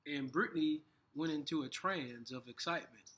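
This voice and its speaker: A man co-hosting a casual conversation, talking in halting bursts with frequent restarts. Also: a little high, fairly bright tone, poor audio. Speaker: co-host.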